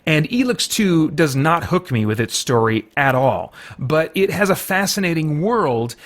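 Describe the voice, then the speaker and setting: Higher-pitched man reviewing games. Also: sing-song, measured pace. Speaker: reviewer and host. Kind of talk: video game review, conversational semi-scripted commentary.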